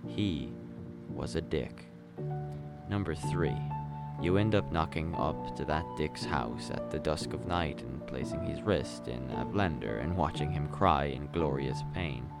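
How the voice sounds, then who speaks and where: An actor playing a smooth-talking, remorseless killer in a darkly comic radio play, with a glib, conversational manner and dramatic pauses. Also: male voice, very deep voice, loud music behind the voice, exaggerated voice. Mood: darkly brooding. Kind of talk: radio play monologue and dialogue.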